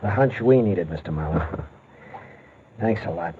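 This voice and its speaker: A man's voice: low voice, animated, a little slow. A man playing an earnest, questioning character in an old radio mystery. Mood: relieved. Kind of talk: 1940s-50s radio drama acting. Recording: muffled old radio, faint noise.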